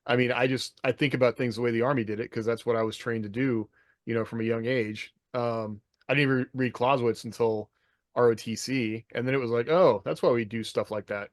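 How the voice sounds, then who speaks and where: A man's voice: medium pitch, fairly even intonation, a little fast, dry recording. Dry-sounding man thinking aloud. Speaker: podcast co-host, military veteran. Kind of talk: casual panel discussion. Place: indoors, video call.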